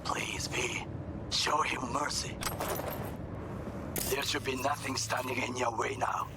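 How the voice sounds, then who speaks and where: A man's voice: medium pitch, level tone, gravelly. A male actor playing a stern, honor-bound middle-aged Japanese bodyguard in a video game, speaking formal, clipped English with a Japanese accent. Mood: firm, tense. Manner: clipped, formal, deliberate phrasing. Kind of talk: cinematic video game acting. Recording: noisy game ambience behind voice.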